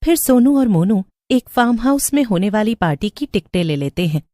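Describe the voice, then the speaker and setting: A woman's voice: medium pitch, sing-song, fairly quick, mellow, dry recording, clean studio sound. A woman narrating a scripted horror story as the storyteller.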